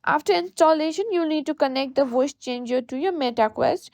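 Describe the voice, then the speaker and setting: A woman delivers scripted how-to narration in a high-pitched voice. The sound is dry and close, with very little room to it, as she takes the listener through instructions as a tutorial presenter. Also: brisk, a little mellow, no echo.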